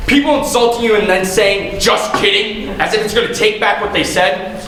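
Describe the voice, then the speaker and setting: A male actor in a school stage comedy playing a shady, flustered, smooth-talking therapist with a glib, sarcastic manner. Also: sits high, steady tone, normal speed, sharp tone. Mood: sardonic. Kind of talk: live amateur stage comedy acting. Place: school stage, recorded from the audience. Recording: echoey hall, distant mic, noisy audience room.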